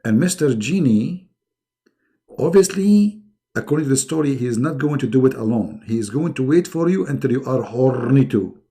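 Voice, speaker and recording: A man's voice: mid-range voice, lively intonation, normal speed, dark tone. A middle-aged man who speaks English as a second language hosts a live online debate in a mocking, emphatic, sarcastic way. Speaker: debate host.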